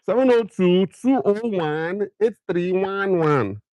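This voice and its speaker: Middle-aged Nigerian man hosting a chatty live Q&A, fairly high voice, dry close sound. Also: animated, slow pace, darkish tone, dry recording. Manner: chatty, fires questions at viewers, slips into pidgin. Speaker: livestream host. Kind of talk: casual livestream chat with viewers.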